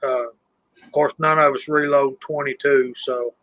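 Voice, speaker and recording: A man in his fifties co-hosting a casual live stream chat, relaxed and self-deprecating as he asks guests questions. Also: medium pitch, unhurried, low-quality recording. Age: 50s.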